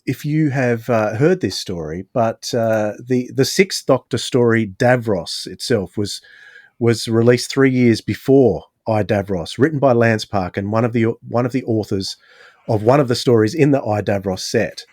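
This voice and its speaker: A man's voice: medium pitch, moderate pace, a little mellow, dry recording. Male podcast host, dry close sound. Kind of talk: conversational hosting of a discussion.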